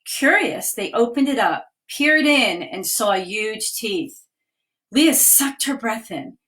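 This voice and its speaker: A woman, a children's author, reading her picture book aloud in a livestream with clear, expressive delivery and chatty asides. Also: a little high, takes it slowly. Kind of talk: children's storytime read-aloud. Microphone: webcam or phone for a livestream.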